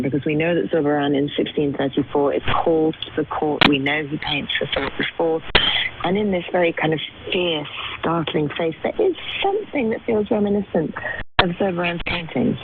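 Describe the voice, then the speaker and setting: A woman speaking as an art historian and curator in an interview, explaining things articulately with emphatic descriptive words, heard over shortwave radio. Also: low voice. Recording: tinny shortwave radio, loud static noise. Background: loud shortwave static and clicks.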